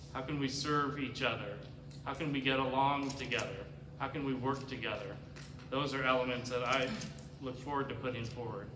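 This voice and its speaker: Male candidate, earnest and plain-spoken, with some fillers; roomy hall recording. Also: mid-range voice. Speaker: candidate at a forum. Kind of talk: prepared forum remarks. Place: large community cultural center hall. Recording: roomy hall reverb with background noise.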